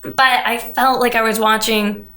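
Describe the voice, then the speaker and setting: A woman's voice: a little high, level tone, moderate pace, bright, forceful tone. A young adult woman in a casual podcast chat, with informal, slightly tentative phrasing. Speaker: podcast panelist. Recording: roomy, echoing room sound.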